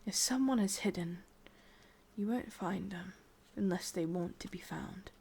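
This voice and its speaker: A woman with an English accent playing a lovelorn, obsessive immortal witch in a breathy, intimate whispered ASMR roleplay. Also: medium pitch, a little hiss or hum. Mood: calm, resigned. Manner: slow, hushed, intimate delivery with pauses.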